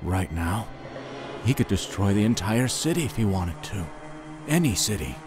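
A man's voice: lowish voice, sing-song, normal speed, over music. A man playing a grim, vengeful gangster in a cartoon-based audio drama.

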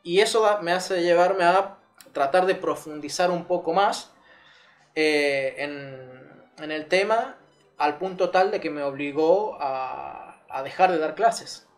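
A man's voice: fairly high pitch, slow pace. A man, earnestly recounting his own story as an interview guest, with many fillers and restarts. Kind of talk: personal testimony in an interview. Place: living room with curtains, seated in armchair. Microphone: lapel mic.

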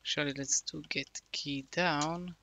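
A man slowly talking through a coding tutorial, thinking aloud with many fillers. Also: a little high, fairly even intonation, pushed and bright, slight background noise. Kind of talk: casual screen-recorded coding tutorial.

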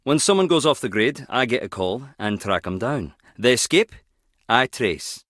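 An actor playing a wry, guarded skip tracer in a video game, teasing yet protective, speaking in a clipped, conversational way. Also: male voice, medium pitch, expressive, measured pace, fairly bright tone, no room sound, clean studio sound. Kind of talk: video game character dialogue.

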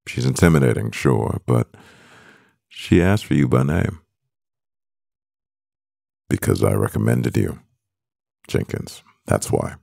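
A man acting a composed, doting CEO boyfriend in an ASMR roleplay, speaking in a measured, gravelly voice. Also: darkish tone, no echo, studio quality, full character voice. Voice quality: very deep, gravelly. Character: powerful male CEO boyfriend, composed, doting, gently teasing.

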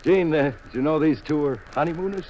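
A man's voice: on the high side, fast talker, mellow, close to an everyday voice, low-quality recording. An actor playing a suspicious, probing man feigning friendliness in a 1950s radio drama. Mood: probing politeness. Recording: old radio recording, faint noise.

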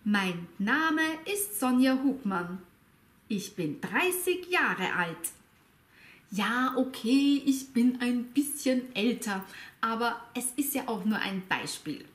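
A woman in her fifties teaching a language lesson, speaking slowly and over-articulating every word. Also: fairly high pitch, animated. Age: 50s. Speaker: German teacher. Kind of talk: slow, clear language lesson. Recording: roomy echo.

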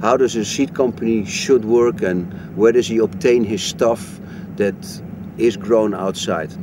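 A man's voice: lowish voice, unhurried, background noise. A man from Africa, an expedition leader, talking in a documentary about his travels. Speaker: expedition leader and strain hunter. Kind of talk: documentary narration and on-location talk. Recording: music bed under the voice.

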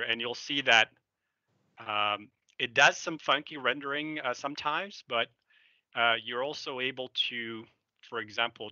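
A middle-aged Belgian man whose first language is French talks through a live product demo, casual and full of fillers. His voice is very bright and forceful. The headset sound is dry and slightly dull. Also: mid-range voice, dry recording. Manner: casual and enthusiastic, thinking aloud with many fillers. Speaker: software developer giving a demo. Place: indoor room, seen on webcam.

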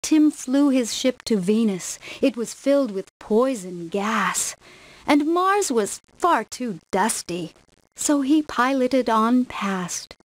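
Female narrator for rhyming children's book, slightly high voice, slow, rhythmic, scripted read. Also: expressive, slight background noise, rough recording. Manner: measured, rhythmic verse delivery. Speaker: storybook narrator.

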